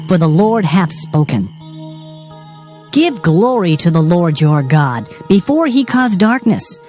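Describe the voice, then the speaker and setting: A woman's voice: mid-range voice, a little mellow. A woman narrating dramatized scripture in a solemn, declamatory manner. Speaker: Bible narrator.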